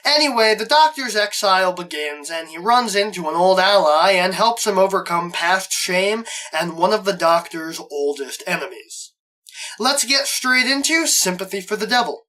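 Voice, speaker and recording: A man's voice: high voice, lively intonation, moderate pace, pushed and bright, rough recording. A man hosting a scripted review, narrating with a fan's enthusiasm and wry asides. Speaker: host, reviewer.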